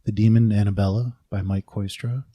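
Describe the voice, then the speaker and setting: Podcast host, male: low and mellow, conversational announcer read, dry sound. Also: fairly even intonation, fairly quick, husky, no room sound.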